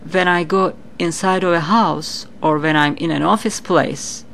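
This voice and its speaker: A woman's voice: lowish voice, unhurried, creaky, a bit bright, a little hiss or hum. A middle-aged woman with a Turkish accent, a Turkish native speaker, answering interview questions as a psychic in an earnest, explanatory manner and repeating words for emphasis. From Turkey. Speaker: psychic guest.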